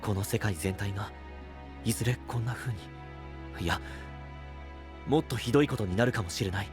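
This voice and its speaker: A man playing a dutiful guardian knight in an anime-style voice drama, grave, gentle and earnest. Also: lowish voice, moderate pace, music in the background, close to an everyday voice. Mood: earnest, resolute.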